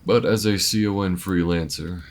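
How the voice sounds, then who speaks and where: Man voicing a very deep, rugged alien bounty hunter. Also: fairly even intonation, normal speed, a little hiss or hum. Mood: wry, weary. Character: rugged, easygoing alien male bounty hunter, warm and weathered. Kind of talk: intimate slow-paced audio roleplay.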